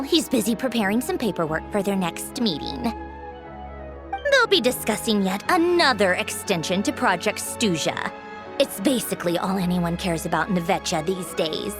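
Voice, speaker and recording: A haughty high-ranking noblewoman from a video game. She is poised and refined, teasing with a smug, condescending edge. Her pitch swings expressively, and music plays clearly behind her. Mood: smug, businesslike. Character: haughty, teasing noblewoman harbinger fond of tea parties. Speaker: high-ranking official of an organization. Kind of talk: scripted video game character dialogue.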